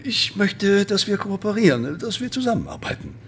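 An actor playing an agitated, pleading football club official in a TV crime drama. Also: male voice, high voice, wildly swooping pitch, moderate pace, a little hiss or hum, exaggerated voice. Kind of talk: TV drama acting.

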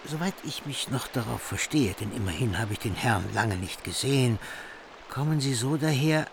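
An actor in a radio drama playing a wary, suspicious warrior who issues warnings. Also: male voice, mid-range voice, lively intonation, moderate pace, creaky, noisy background. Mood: recognizing. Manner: warning, distrustful.

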